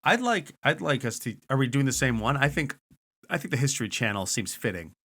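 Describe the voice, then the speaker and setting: A man with a bright, forward voice at an average pitch improvises comic pitches on a podcast. He speaks casually and haltingly, often repeating words as he works out the idea. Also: big pitch swings, husky. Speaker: comedy podcast panellist.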